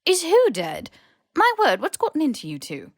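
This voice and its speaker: Woman acting a stern, high-pitched older headmistress. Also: wildly swooping pitch, moderate pace, no room sound, studio quality. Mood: alarmed, questioning. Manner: crisp, formal, old-fashioned phrasing. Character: stern, shrewd older female school headmistress, dryly exasperated. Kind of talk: audiobook character voice.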